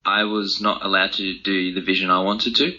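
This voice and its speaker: A man's voice: sits low, level tone, normal speed, pushed and bright. A young man answering interview questions in a casual, reflective way, thinking aloud in informal language. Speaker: interview guest, author and speaker. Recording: dull, lo-fi audio.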